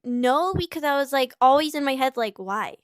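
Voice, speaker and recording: A young woman in her twenties talks about personal matters in a halting, earnest way, often breaking off and starting again. Her voice sits high. The recording is dry and close, with almost no room sound. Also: expressive, no room sound. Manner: halting, tearful at times. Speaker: streamer in a played clip. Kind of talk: emotional personal account. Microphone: small clip-on mic.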